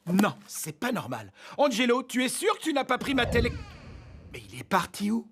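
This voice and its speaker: A performer voicing a scatterbrained, excitable cartoon father with an exaggerated delivery, in French dubbing. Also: mid-range voice, moderate pace. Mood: puzzled, suspicious. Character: scatterbrained, excitable father obsessed with his remote.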